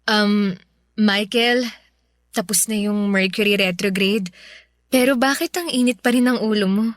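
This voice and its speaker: A woman's voice: medium pitch, unhurried, dry recording, clean studio sound, close to an everyday voice. A woman playing a clingy, earnest young woman pleading with her ex in a Filipino radio drama, sometimes hesitant. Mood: tentative.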